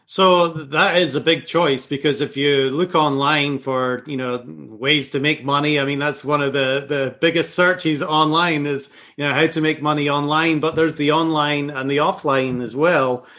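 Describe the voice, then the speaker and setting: A man's voice: on the high side, fairly even intonation, normal speed, few pauses, pushed and bright. A man with a Scottish accent hosting an interview show in an easygoing, casual way, with the odd filler. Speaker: podcast host.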